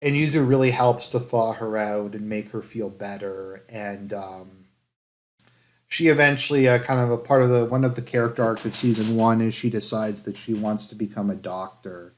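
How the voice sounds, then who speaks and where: A man giving casual review commentary in a halting, hedging way, with phrases that trail off. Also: fairly low pitch. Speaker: anime reviewer.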